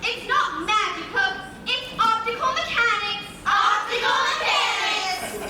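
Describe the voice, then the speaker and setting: A woman's voice: very high-pitched, animated, unhurried, pushed and bright, full character voice. A woman playing a plucky, earnest young storybook hero in a live stage play, projecting in a declamatory way. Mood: confident, taunting. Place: theatre stage. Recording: distant mic, echoey theatre, background noise.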